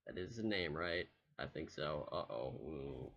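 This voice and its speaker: A man's voice: very deep voice, lively intonation, unhurried, dull audio, loud music behind the voice. A man doing a game let's play, commenting casually and reading the on-screen dialogue aloud. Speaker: gaming YouTuber.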